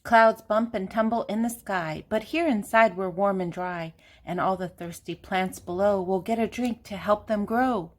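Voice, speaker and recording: A woman's voice: mid-range voice, moderate pace, hardly any pauses. An older woman reading a children's picture book aloud, speaking simply and directly to young listeners. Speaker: children's storybook reader.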